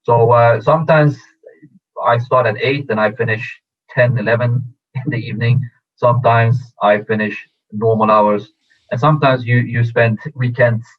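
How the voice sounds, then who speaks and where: A man who grew up in Sweden, a football agent answering interview questions in a measured way with many fillers and restarts. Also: medium pitch, steady tone. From Iran, raised in Sweden. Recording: tinny phone-like audio.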